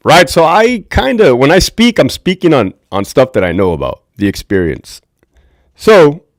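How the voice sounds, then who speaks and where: Brief: deep-voiced man, livestream host, lively swinging pitch, dry close desk mic. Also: dry recording. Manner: candid, profane, talks to chat viewers. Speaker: livestream host, former prison officer. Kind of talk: casual livestream commentary.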